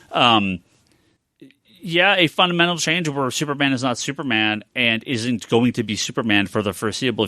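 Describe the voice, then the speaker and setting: A man's voice: mid-range voice, bright, forceful tone, no echo, studio quality. A middle-aged man chatting casually and giving his opinions as a podcast co-host. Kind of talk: casual panel chat about comic books.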